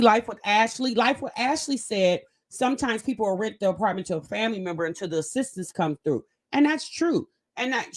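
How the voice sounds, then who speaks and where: A woman with a voice of middling pitch, hosting a casual reaction show. She speaks right to her audience in a chatty, animated way. She drags words out when she wants to stress a point. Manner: animated, drags words out for emphasis, talks straight to viewers. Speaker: host of a reaction commentary channel. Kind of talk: casual reaction commentary to viewers.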